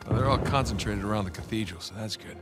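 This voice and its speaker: An actor playing a keen, curious treasure hunter in a video game cutscene, casual yet intent. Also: male voice, on the low side, quick pace, loud music behind the voice, natural voice.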